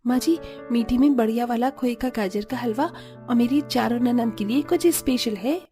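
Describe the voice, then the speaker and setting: A woman's voice: fairly high pitch, normal speed, mellow, soft background music, close to an everyday voice. A woman voicing a cheerful, affectionate young bride in a cartoon family story.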